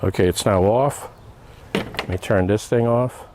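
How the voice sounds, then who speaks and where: A man's voice: lowish voice, measured pace, noisy background. A man giving a casual hands-on product demonstration outdoors, thinking aloud in short step-by-step remarks. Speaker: product reviewer. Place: outdoor backyard.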